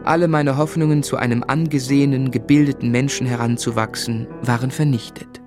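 First-person narrator for literary radio drama, male actor: medium pitch, measured, reflective, clearly audible music underneath. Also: steady tone, natural voice. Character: grown man recalling his lonely, miserable boyhood.

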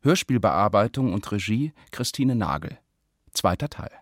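A man's voice: fairly low pitch, animated, measured pace, dry recording, clean studio sound. A man announcing a radio drama's title and credits in a scripted, formal reading.

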